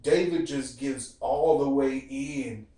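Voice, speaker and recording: A man's voice: mid-range voice, slow, warm tone. Echoey, distant man in casual debate. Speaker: host of a TV series discussion show. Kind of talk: casual group discussion, profane slang. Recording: echoey room, distant from the mic.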